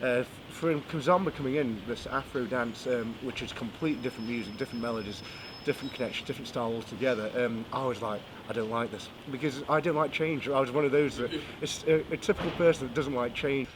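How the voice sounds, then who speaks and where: Fast-talking man, noisy background. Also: mid-range voice. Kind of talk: interview.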